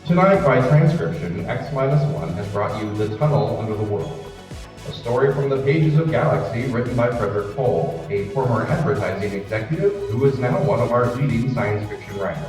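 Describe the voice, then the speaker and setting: A man's voice: mid-range voice, animated, fairly quick. A man reading scripted credits and promotion as an old-time radio announcer. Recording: reverberant hall, distant, loud music behind. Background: music.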